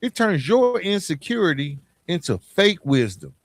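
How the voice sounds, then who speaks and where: A man's voice: high-pitched, sing-song, measured pace, no room sound. A man hosting a podcast, giving an emphatic, colloquial motivational monologue with storytelling and repeated key phrases. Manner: colloquial, informal address. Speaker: podcast host.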